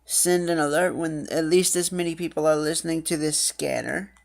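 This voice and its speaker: A woman's voice: low voice, steady tone, normal speed, vocal fry, darkish tone. A woman giving a casual tutorial walkthrough, reading screen text aloud and then explaining it. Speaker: app reviewer and demonstrator.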